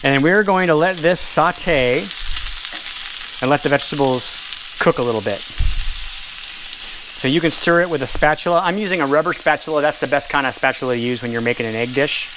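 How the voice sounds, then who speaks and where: A man giving a relaxed, casual cooking demonstration at a kitchen stove, explaining each step with the odd filler and self-correction. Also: a little high, normal speed, long pauses, noisy background. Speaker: cooking and nutrition instructor. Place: home kitchen at a gas stove, seen from overhead.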